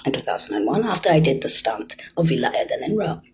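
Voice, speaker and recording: An older woman in a rambling monologue, lurching between hushed whispers and loud, emphatic bursts as she accuses her listeners directly. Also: deep voice, big pitch swings, quick pace, breathy edge.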